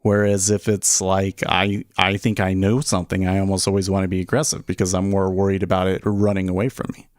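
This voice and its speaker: A man's voice: sits low, monotone, fairly quick, few pauses, no echo. A man hosting a livestream as a day trader, thinking aloud in casual, conversational talk and often checking in with his listeners.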